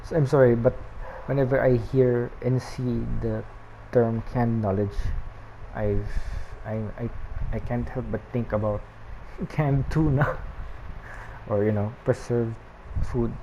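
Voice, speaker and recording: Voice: medium pitch, steady tone, slow, lo-fi sound, background noise. A Filipino-accented streamer giving casual game commentary, reading lines aloud, often correcting themself and making giggly asides. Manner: self-correcting, apologetic about pronunciation, giggly asides. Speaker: gameplay streamer.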